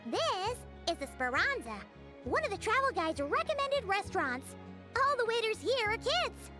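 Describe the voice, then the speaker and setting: A woman voicing a tiny, chirpy fairy companion in a video game, childlike and squeaky, perky and chatty. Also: sing-song, moderate pace, over music. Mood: cheerful explaining. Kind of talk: video game character acting.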